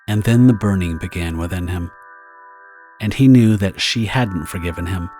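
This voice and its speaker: Male narrator for pulp-style audio drama: very low register, scripted dramatic storytelling, prominent music bed behind. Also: normal speed, darkish tone.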